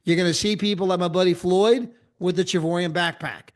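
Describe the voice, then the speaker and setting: Energetic high-voiced American man pitching travel. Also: fairly quick. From United States. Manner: energetic, repetitive, sprinkles "right?" and "okay?". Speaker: travel club presenter. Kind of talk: sales pitch presentation.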